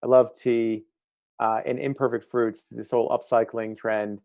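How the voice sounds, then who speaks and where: A man's voice: mid-range voice, fairly even intonation, a little slow, creaky, darkish tone, no echo, studio quality. An American man in his thirties hosting a casual livestream interview over a video call. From United States. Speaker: livestream host. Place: indoor room. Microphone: earbud headset on video call.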